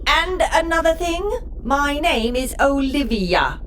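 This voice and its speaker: An actress playing a cheeky, stubborn submarine computer with a British accent, chatty and always talking back in an audio comedy. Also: female voice, high-pitched, sing-song, slow pace, background noise, heavily acted. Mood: indignant. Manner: sassy, chatty, talks back. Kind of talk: comedic audio drama acting. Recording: music bed behind the voice.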